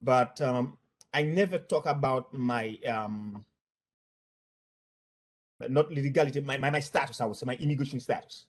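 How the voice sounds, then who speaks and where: A man from Haiti with a Haitian accent gives a spontaneous account of workplace incidents, speaking haltingly and often repeating words and phrases. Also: mid-range voice, a little hiss or hum. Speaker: former bank employee giving testimony.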